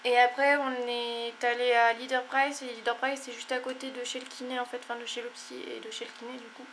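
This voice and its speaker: Stuffy-nosed young woman chatting to camera, kinda high, monotone, faint hum behind. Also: fairly quick. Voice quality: tired. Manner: rambling, many fillers and apologies. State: stuffy, congested. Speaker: vlogger. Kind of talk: casual chat to camera.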